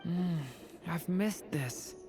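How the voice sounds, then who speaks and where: A woman voicing a gentle, earnest young male dragonborn in a video game, soft and wistful. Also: fairly low pitch, very slow. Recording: game audio under music.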